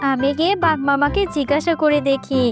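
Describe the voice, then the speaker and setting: A woman's voice: sits high, steady tone, brisk, over music, close to an everyday voice. A woman voicing a plaintive fox wife in an animal cartoon. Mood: worried, suspicious. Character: small, high-voiced cartoon vixen wife, plaintive. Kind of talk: scripted cartoon dialogue.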